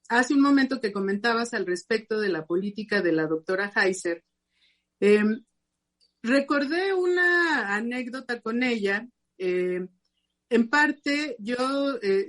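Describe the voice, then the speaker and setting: A woman with a notably high voice speaks on a radio panel. She talks earnestly and with resolve, stopping now and then on small fillers as she gathers her thoughts. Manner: earnest, determined, with filler hesitations. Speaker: psychoanalyst and university teacher. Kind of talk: reflective radio discussion.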